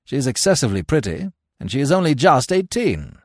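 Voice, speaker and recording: A man playing a fussy young gentleman who talks in an irritable, flustered way. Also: medium pitch, sing-song, fairly quick, no echo, studio quality, voice pushed up. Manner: peevish, flustered.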